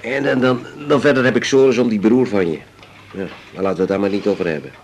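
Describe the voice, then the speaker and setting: An actor playing a troubled middle-aged father in a radio drama, confiding haltingly and repeating his words. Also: lowish voice, fairly even intonation, slight background noise, natural voice. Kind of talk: naturalistic 1980s radio drama acting.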